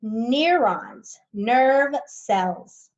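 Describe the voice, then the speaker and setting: Brief: a patient woman teacher who reads slowly and stops to explain words, over a muffled home mic. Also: on the high side, lively intonation. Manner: slow, patient, explains words as she goes. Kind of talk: reading a science book aloud to students.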